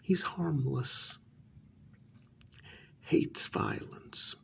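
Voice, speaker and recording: Man acting a gravelly, menacing high-society vampire. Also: fairly bright tone, slight background noise, full character voice. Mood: stern, threatening. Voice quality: gravelly, creaky. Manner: menacing, mock-sympathetic, drawn-out, often dropping to a whisper. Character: cruel, haughty male high-society vampire taunting a captive. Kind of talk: ASMR role-play, intimate dramatic monologue.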